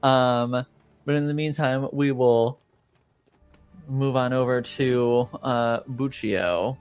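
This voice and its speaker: Clear-voiced man running a tabletop game. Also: mid-range voice, steady tone, slow, faint music behind. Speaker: game master. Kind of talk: improvised tabletop game narration.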